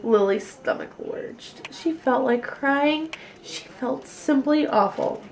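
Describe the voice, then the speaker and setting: High-voiced woman reading a children's story. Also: animated, takes it slowly, vocal fry, darkish tone. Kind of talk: animated children's story read-aloud.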